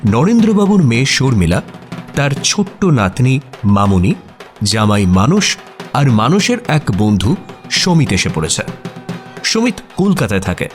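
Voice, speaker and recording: A detective's curious writer friend who tells the story himself. His mid-range voice moves with lively intonation in an expressive radio-drama style. Soft music runs behind the narration. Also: mid-range voice, measured pace, long pauses. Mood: descriptive narration. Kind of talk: first-person radio story narration and acting.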